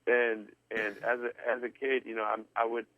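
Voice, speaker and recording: A man's voice: medium pitch, flat delivery, normal speed, a bit bright, dry recording. A man, talking earnestly in an interview, rambling with many restarts and fillers. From Texas. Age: 20s. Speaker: guest drummer. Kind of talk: casual interview chat. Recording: tinny phone line.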